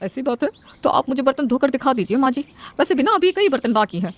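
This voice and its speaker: A woman performs a cheeky older housemaid from a household tale. She answers back shrewdly and quickly in a fairly high voice. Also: sing-song, no room sound. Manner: saucy, talking back.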